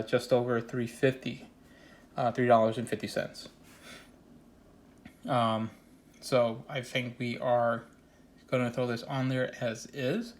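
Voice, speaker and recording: A man with a slightly stuffy, nasal voice from congestion walks through his trading card pulls. His delivery stays level and even, with little rise and fall. He talks earnestly, pausing on fillers and restarting sentences. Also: mid-range voice. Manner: steady, earnest, repeats opinions with many fillers. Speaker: card collecting channel host. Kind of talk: casual card showcase vlog.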